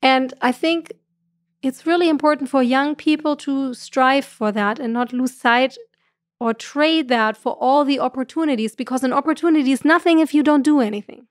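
A middle-aged woman from Germany with a German accent, speaking earnestly as a podcast guest on a boom mic. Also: normal speed, no echo, clean studio sound. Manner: earnest, gestures a lot, laughs often. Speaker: guest astrophysicist. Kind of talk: podcast conversation. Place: room with curtains. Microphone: studio mic on boom arm.

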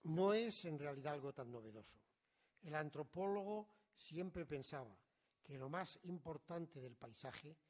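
Husky middle-aged academic lecturer. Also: male voice, on the high side, darkish tone. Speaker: conference speaker. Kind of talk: reading a prepared academic lecture.

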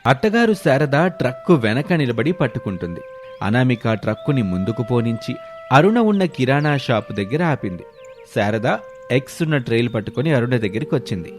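Mid-pitched male story narrator. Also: sing-song, moderate pace, soft background music.

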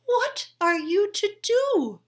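A woman's voice: takes it slowly, heavily acted. A woman voicing an eager, easily worried young male bullfrog in a storybook read-aloud. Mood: cheerful. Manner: high excited cries.

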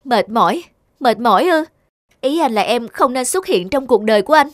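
A woman's voice: a little high, expressive, normal speed, dry recording, studio quality, close to an everyday voice. A woman voicing a sensitive young woman in love in a cartoon, with heartfelt, emotional delivery. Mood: hurt, reproachful. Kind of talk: cartoon dubbing.

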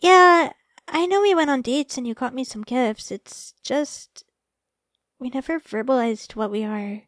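A high, close and dry young female voice acted by a woman plays a sweet girlfriend in a romantic ASMR roleplay. She is shy and affectionate, speaking softly and hesitantly, easily flustered. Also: a little slow, no room sound. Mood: hesitant, earnest. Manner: soft, hesitant, affectionate, stammering when flustered.

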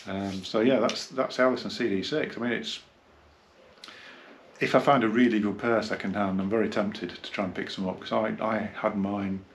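A man gives a casual, unscripted hi-fi review, rambling and halting as he reminisces. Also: on the low side, steady tone, slight background noise. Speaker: hi-fi dealer and reviewer. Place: small carpeted indoor room, seated.

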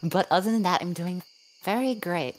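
A woman in a casual live stream chat, teasing her friends with slow, deadpan mock threats. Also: lowish voice, low-quality recording. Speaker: vtuber guest playing a shark character. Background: game music.

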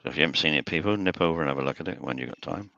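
Man, extremely deep voice, gravelly, bright edge, teasing co-host. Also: racing, lo-fi sound, slight background noise. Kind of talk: casual chat.

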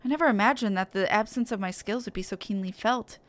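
A woman's voice: medium pitch, fast, no echo, voice pushed down, lightly acted. A woman voicing a polite, earnest young male heir with a courteous, well-spoken manner. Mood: flattered, regretful.

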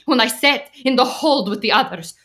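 An actress playing a principled immigrant wife in a radio play, firm, reproachful and insistent. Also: female voice, a little high, moderate pace, fairly bright tone, lightly acted. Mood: resolute. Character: stern, principled immigrant wife, frightened but resolute.

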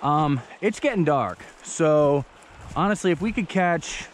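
A young man vlogging as he fishes outdoors by a creek, chatting in a relaxed, eager way into an action camera he wears. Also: fairly high pitch, measured pace, a little hiss or hum. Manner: relaxed, chatty, enthusiastic about fish. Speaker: fishing vlogger. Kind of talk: casual outdoor commentary. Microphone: action camera on the body.

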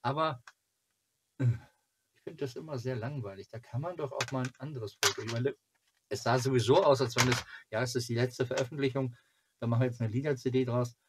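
A man in his forties from Germany chatting casually to camera as a fan channel host, in a halting, rambling way. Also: mid-range voice, background noise. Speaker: fan club video host. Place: small cluttered home room.

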